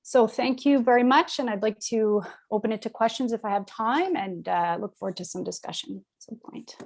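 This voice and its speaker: A woman's voice: fairly high pitch, fairly quick. A woman finishing her conference talk on a video call and answering a question, casual with many fillers. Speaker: conference presenter.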